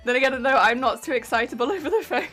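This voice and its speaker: A fairly high-voiced woman with a light, warbly voice that sounds a touch blocked up, as if from hay fever. She chats away in a relaxed, giggly stream-style ramble, greeting viewers. Soft music plays faintly under her. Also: quick pace. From United Kingdom. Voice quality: weak, warbly voice. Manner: chatty, giggly, greets chat cheerfully. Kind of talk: casual chat while crafting. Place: home craft room.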